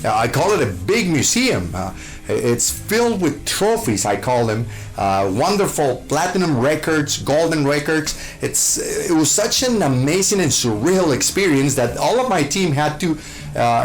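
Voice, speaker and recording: A man's voice: a little high, big pitch swings, unhurried, soft background music, low-quality recording. A man from Costa Rica, a show host, answering interview questions with gushing enthusiasm, full of fillers and restarts.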